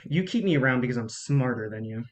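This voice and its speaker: A man's voice: medium pitch, animated, fast, crowd noise, lightly acted. A man acting in a staged play reading as a film producer's young assistant, understated and sardonic. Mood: dry, needling.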